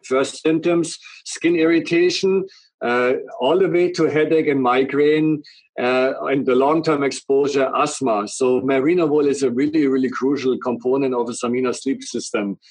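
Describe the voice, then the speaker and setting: A man's voice: medium pitch, fairly even intonation, moderate pace, a little mellow, no room sound, low-quality recording. A middle-aged man from Germany with a strong German accent, earnestly explaining a product at length in an interview. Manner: earnest, explains in long run-on sentences. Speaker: guest, company representative.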